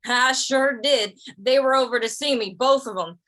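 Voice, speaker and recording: A woman's voice: high voice, normal speed, fairly bright tone. A woman acting a plain-spoken, stubborn but easygoing cowpoke in a 1950s-style Western radio drama, with folksy phrasing. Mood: indignant.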